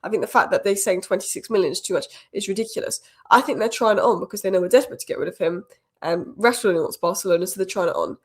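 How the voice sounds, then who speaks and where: A woman hosting a football news roundup, a little croaky from a cold, giving opinionated fan commentary. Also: mid-range voice, racing. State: has a cold, slightly croaky. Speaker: football channel host. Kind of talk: fast solo news roundup.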